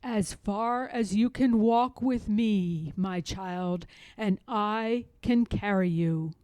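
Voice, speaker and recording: A woman reading a storybook, voicing God as a calm, tender, commanding fatherly presence who speaks slowly and reassuringly. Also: medium pitch, clear, resonant tone, no echo, natural voice. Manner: slow, solemn, reassuring. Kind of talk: storybook read-aloud.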